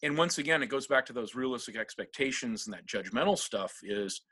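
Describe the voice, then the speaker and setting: A man's voice: mid-range voice, animated, a little fast, pushed and bright, slight background noise. A middle-aged man from Seattle, a guest telling rambling, self-deprecating stories in a casual interview and laughing often. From Seattle, USA.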